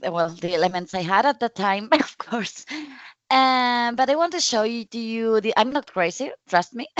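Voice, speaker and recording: A woman from Spain with a Spanish accent, giving a conference presentation in friendly, halting non-native English with frequent restarts. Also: medium pitch, no echo, dull audio. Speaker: conference speaker.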